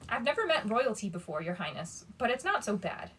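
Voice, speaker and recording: A tough teenage girl with a kind heart from a fantasy storybook, read by a woman. She speaks softly and wryly, keeping everything understated. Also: fairly high pitch, big pitch swings, fairly quick, a little hiss or hum. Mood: soft, reassuring. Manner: understated, softly spoken, shrugging. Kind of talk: storybook character reading.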